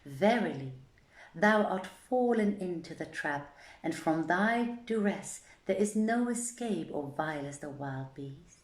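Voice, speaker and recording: Woman as a low, wily carpenter, echoey room. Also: expressive. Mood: gloating. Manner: measured, archaic, formal speech. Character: wily male carpenter, outwardly humble, secretly vengeful. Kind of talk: dramatised reading of an old folk tale. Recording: echoey, roomy sound.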